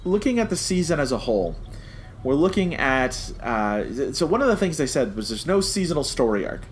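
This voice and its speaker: A man's voice: fairly high pitch, sing-song, fairly quick. A man co-hosting a podcast, chatting casually and animatedly as a fan giving a review, acting out reactions as he goes. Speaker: podcast co-host. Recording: clear background noise.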